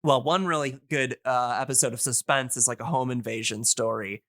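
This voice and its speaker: A man in a casual explanatory podcast chat, talking in a hesitant, halting way as he thinks aloud. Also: medium pitch, steady tone, a bit bright, no echo, studio quality.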